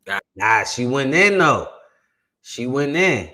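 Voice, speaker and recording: Bright-voiced slangy man reacting. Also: mid-range voice, big pitch swings. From South Carolina, USA. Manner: slangy, loose, quick to laugh. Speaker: reaction video host. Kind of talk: casual reaction commentary.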